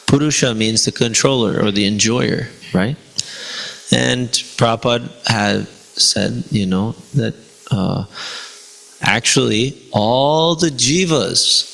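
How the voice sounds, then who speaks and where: Male teacher, Spanish first language, gravelly voice, casual class talk. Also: medium pitch, fairly bright tone, low-quality recording. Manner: conversational, many fillers and rhetorical "right?". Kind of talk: informal devotional class talk.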